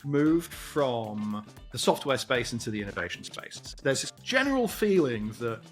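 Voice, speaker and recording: A man's voice: medium pitch, sing-song, normal speed, soft background music. Male interviewer with a mid-range voice. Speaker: interviewer, host. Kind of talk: conversational interviewing. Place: remote video call.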